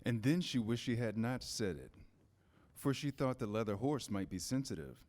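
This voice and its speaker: A man's voice: mid-range voice, lively intonation, moderate pace, darkish tone. A man narrating a children's story as scripted reader's theater.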